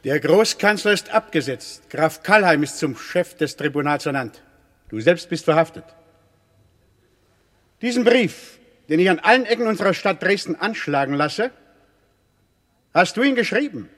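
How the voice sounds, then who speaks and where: An actor in a German radio play as a stern, cold ruler passing judgment in a formal, commanding way. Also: male voice, high voice, lively intonation, normal speed, long pauses, a bit bright. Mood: stern, condemning. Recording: long reverb of a stone hall.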